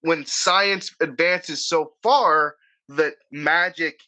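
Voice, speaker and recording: Boston-accented man, bright and slightly high-pitched, profane, chatty author guest. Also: very slow, poor audio. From Boston area, United States. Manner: profane, animated, rambling with restarts. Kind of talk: casual podcast conversation.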